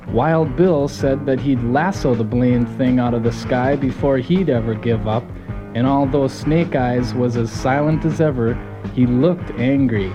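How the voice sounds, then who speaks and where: A man's voice: mid-range voice, lightly acted, rough recording. A man playing a folksy, gung-ho soldier who narrates his team's mission in a scripted audio drama. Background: music.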